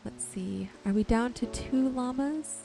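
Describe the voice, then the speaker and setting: A woman giving gentle, soothing ASMR commentary over her gameplay in an unhurried way. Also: a little high, gravelly. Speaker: gaming video creator. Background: music and rain sounds.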